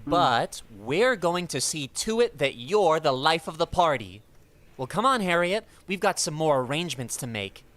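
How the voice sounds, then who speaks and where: Woman acting brash low-pitched teenage schoolboy. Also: big pitch swings, moderate pace, fairly bright tone, no room sound. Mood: cajoling. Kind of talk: 1940s radio comedy acting.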